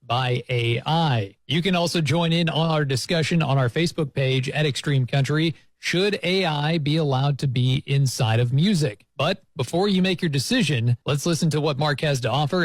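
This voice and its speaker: Higher-voiced male radio host, dry sound. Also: normal speed, hardly any pauses, no echo. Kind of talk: conversational radio interviewing and presenting.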